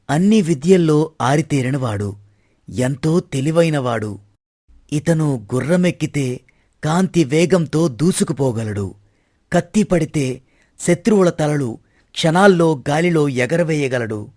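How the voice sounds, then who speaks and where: A man's voice: fairly high pitch, darkish tone, no echo, studio quality. A man playing a formal, deferential royal minister in a dramatized folk story, declaiming respectfully as a courtier would. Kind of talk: dramatized moral story acting.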